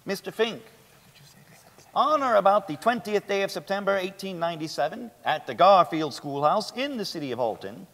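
A man playing a methodical 1890s defence lawyer in a dramatic stage reading, reciting formal questions deliberately. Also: sits high, fairly bright tone. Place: large theatre stage, indoors. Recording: echoey theatre hall.

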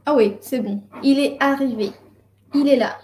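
A woman's voice: high voice, moderate pace, darkish tone, slight background noise. A young woman teaching an online French class, patiently explaining and switching between French and Spanish. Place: home room. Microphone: video call, laptop mic. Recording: roomy video call audio.

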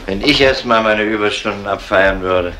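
A man's voice: on the low side, moderate pace, sharp tone. An actor playing a wry, teasing male police colleague in a German radio crime drama. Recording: faintly noisy old radio play recording.

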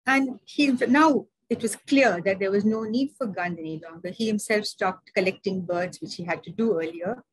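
A woman's voice: mid-range voice, moderate pace, hardly any pauses, breathy edge, no echo, rough recording. A woman with an Indian English accent giving a seminar talk over slides, chatty and digressive, with many fillers and restarts. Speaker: seminar speaker.

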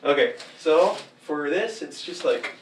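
A man's voice: a little high, lively intonation, unhurried, background noise. A young man in costume chatting casually and laughing at himself while he acts out moves in front of a green screen. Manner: giggly and self-mocking, chatty. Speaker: cosplayer filming fighting-game moves. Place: green screen room indoors. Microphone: far camera mic. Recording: echoey room, mic set far off.

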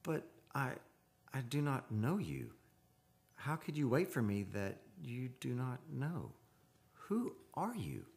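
An actor playing an earnest, haunted man of seafaring stock in an old-time radio drama, speaking in a solemn, measured, poetic way. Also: medium pitch, wildly swooping pitch, creaky, a little mellow, heavily acted. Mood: awed, halting. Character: earnest, haunted seafarers' descendant telling his eerie tale. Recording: live radio studio with music behind.

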